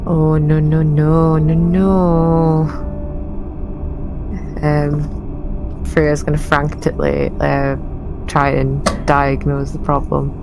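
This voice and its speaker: A woman's voice: deep voice, level tone, extremely slow, gravelly, pauses often, rough recording. A woman playing a tabletop role-playing game, speaking for her character and describing actions. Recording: music behind the voice.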